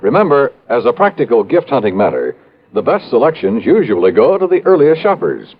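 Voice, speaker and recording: A man's voice: mid-range voice, wildly swooping pitch, fairly quick. A man working as a radio announcer, reading scripted copy in 1950s style. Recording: muffled vintage radio recording.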